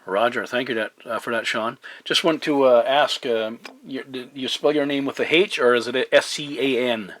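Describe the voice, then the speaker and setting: A man's voice: mid-range voice, normal speed, few pauses, fairly bright tone, a little hiss or hum. A man from Newfoundland, Canada, chatting casually as a CB radio operator, polite and hesitant, using radio procedure words. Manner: hesitant, polite, spells in phonetic alphabet. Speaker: CB radio operator and video maker. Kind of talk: casual radio contact chat with radio protocol. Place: home radio desk, indoors. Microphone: camera pointed at the radio.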